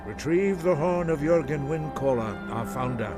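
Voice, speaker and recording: Solemn old monk master played by a man over loud music. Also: a little high, animated, close to an everyday voice. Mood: reverent, grave. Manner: measured, formal, instructive. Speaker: mentor. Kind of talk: fantasy video game dialogue. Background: game music.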